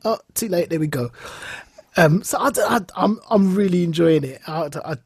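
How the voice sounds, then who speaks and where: A man co-hosting a podcast in a casual, enthusiastic way, telling stories in an animated manner and acting out bits of dialogue. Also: high voice, moderate pace, gravelly, husky, no room sound, clean studio sound. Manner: animated storytelling, acts out reported dialogue. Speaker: podcast co-host.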